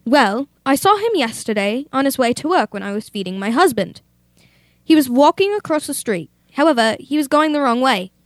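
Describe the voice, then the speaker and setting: A young woman playing a blithe, unbothered lady in a comic old-time radio play, polite and deadpan. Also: sits high, normal speed, no room sound. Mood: matter-of-fact. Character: blithe, unbothered lady, sister of a murdered man.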